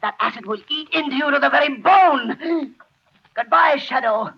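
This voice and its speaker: Unhinged, gloating poisoner for 1930s radio play; very high voice, muffled vintage recording. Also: male voice, moderate pace, creaky, slight background noise. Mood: manic, menacing. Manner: theatrical, gloating, cackling. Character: gleefully insane male poisoner, a laboratory worker. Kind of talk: 1930s radio drama acting.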